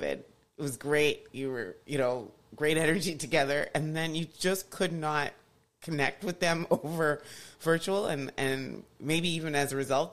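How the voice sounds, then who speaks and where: A man's voice: fairly high pitch, vocal fry. A man hosting an interview, asking a casual question and often affirming with short replies. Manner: frequent backchannel affirmations. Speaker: host. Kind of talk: conversational interview.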